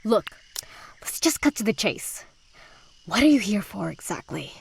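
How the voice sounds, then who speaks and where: A woman's voice: mid-range voice, very animated, unhurried, creaky, husky, heavily acted. A woman playing a jaded, blunt teenage girl in a visual novel, with a dry, sardonic, curt delivery. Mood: blunt, suspicious.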